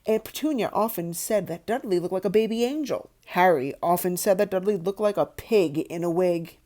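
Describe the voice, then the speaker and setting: A woman's voice: lowish voice, normal speed, mellow. A woman reading a children's novel aloud as storytelling narration. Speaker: audiobook reader.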